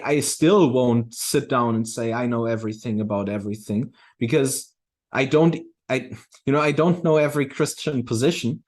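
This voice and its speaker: A man with a mid-pitched voice talks in a casual, conversational way. He is reflective and halting, often doubling back to restart a phrase before he finishes the thought. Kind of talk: casual discussion.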